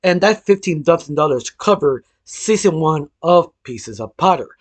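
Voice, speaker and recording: A man with a hoarse voice from illness, hosting a casual cartoon news roundup, rambling with lots of filler phrases and restarts. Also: a little high, lively intonation, moderate pace, dry recording. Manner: rambling, heavy use of "sort of", frequent restarts. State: hoarse, losing his voice from illness. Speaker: cartoon news channel host.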